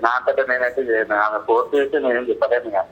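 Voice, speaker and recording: A man's voice: medium pitch, steady tone, racing. A man in a casual, slangy phone chat, speaking in a protesting way. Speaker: friend being pranked on the phone. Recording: dull phone call, faint music.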